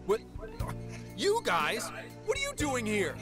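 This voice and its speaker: An actor playing a gruff but apologetic middle-aged detective father in an English video game dub. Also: extremely high pitch, huge pitch swings, slow pace, exaggerated voice. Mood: exasperated. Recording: loud game music under the dialogue.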